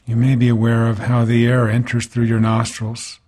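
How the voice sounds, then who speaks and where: Husky-voiced man guiding a meditation. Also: medium pitch. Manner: calm, unhurried, gently instructive, pausing between phrases. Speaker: meditation teacher. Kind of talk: guided body scan meditation narration.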